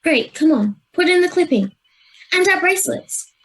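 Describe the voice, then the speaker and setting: A woman's voice: very high-pitched, expressive, normal speed, rough recording. An Australian girl of ten or eleven playing a decisive, bossy schoolgirl adventurer in an amateur radio play. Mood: urgent. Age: child, 10 to 11. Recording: home video-call recording.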